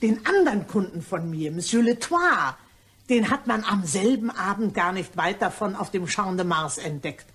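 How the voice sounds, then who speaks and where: A woman's voice: mid-range voice, animated, normal speed, fairly bright tone, husky. A woman playing a gossipy, blithely indiscreet cleaning woman in a German crime radio play, telling her tales in a chatty, dramatic way. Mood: eager gossip.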